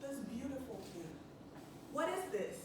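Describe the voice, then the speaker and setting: A cheerful woman with a Ghanaian accent runs a small workshop, laughing often and drawing the audience in with questions. Her voice sits high. She is caught from a distance in a very echoey room, with steady noise behind her. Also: a little slow. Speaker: workshop speaker. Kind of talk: interactive stress-relief workshop. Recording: echoey room, mic far off, background noise.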